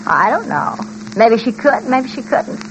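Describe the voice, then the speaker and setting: A breezy, lively hitchhiker, noisy recording. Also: female voice, a little high, measured pace. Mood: easygoing, puzzled. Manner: slangy, casual, matter-of-fact. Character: breezy, blunt young female hitchhiker. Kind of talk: 1940s radio acting. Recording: noisy old 1940s radio recording.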